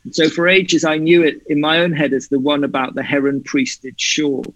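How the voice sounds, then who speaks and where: A man's voice: fairly high pitch, level tone, normal speed, a bit bright, no room sound. A man with a light Welsh accent reads a poem and then speaks about it in an earnest, reflective way over a video call. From Wales. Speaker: guest. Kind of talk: poetry reading and conversational interview. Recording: slightly muffled dry online call audio.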